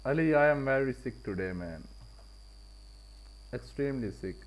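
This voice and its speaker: A man's voice: medium pitch, lively intonation, normal speed, low-quality recording. A man with a Pakistani accent hosting a casual live trading stream, repeating and rephrasing his points for emphasis. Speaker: live-stream forex trader and host.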